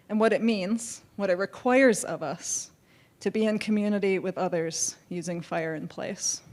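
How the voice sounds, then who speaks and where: A woman panelist speaking with a slightly hoarse voice into a handheld mic, telling a story in an earnest, deliberate way on a conference stage. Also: medium pitch, a little mellow.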